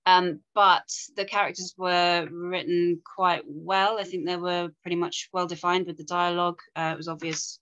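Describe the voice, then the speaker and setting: A woman's voice: fairly low pitch, flat delivery, normal speed, hardly any pauses, fairly bright tone, dull audio. A woman giving informal script feedback as a panel reader, tentative and tactful in how she words it. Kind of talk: informal spoken critique.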